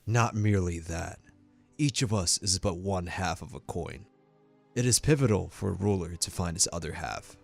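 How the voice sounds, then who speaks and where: A man playing a stern, dignified king and demanding father in a fantasy audio drama, speaking formally with firm, quiet authority. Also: low-pitched, normal speed, gravelly, soft background music. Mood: firm, measured.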